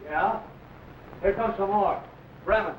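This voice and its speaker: High-voiced young gunman, echoey recording. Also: takes it slowly, a little hiss or hum. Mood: wary, protective. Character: Ben, hot-headed, loyal young gunman. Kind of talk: old adventure serial acting. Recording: distant, echoey, dull vintage recording.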